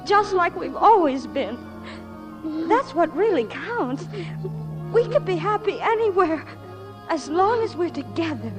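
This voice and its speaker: An actress playing a caring, soothing young big sister in a 1940s radio drama, coaxing and comforting. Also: female voice, extremely high pitch, big pitch swings, a little slow, over music, exaggerated voice. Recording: old radio broadcast.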